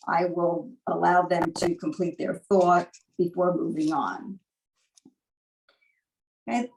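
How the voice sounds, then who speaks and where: Woman moderator, gravelly lowish voice, measured procedural, off-mic. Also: recorded from a distance, rough recording. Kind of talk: announcing debate rules.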